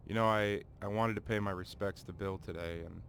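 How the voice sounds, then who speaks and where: An actor playing a grieving young gangster paying respects in a video game drama, halting and grave. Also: male voice, lowish voice, steady tone, vocal fry, a bit bright, a little hiss or hum. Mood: grieving, subdued. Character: mourning young male gangster, friend of the deceased.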